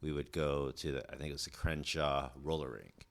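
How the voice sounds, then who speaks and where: Very deep-voiced laid-back man in his forties. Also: fairly even intonation, normal speed, creaky. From born in Indonesia, raised in Southern California. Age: 40s. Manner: laid-back, rambling, heavy on "like" and "dude". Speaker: podcast guest, chef. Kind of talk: casual chat.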